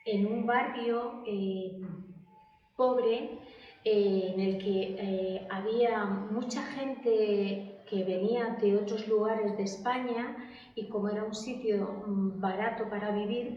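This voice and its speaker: A middle-aged woman, recalling her life for an oral history interview. She hesitates often, full of fillers and restarts, in a fairly high voice. She sounds far from the mic in a very echoey room, with a dull, muffled tone. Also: dark tone. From Madrid, Spain.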